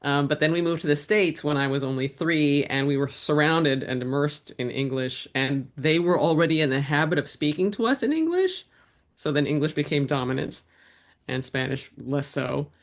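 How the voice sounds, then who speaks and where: Gravelly-voiced woman, reflective interview guest. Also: deep voice, expressive, moderate pace. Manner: reflective, with frequent restarts. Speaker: guest, actor and writer. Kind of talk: conversational interview answers.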